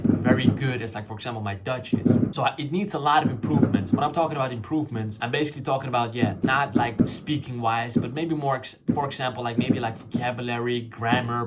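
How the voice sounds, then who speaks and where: A man's voice: medium pitch, heavy background noise. A young man whose first language is Farsi, rambling through a reflective solo vlog with many fillers and restarts. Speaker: YouTuber. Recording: loud fireworks and clicks behind.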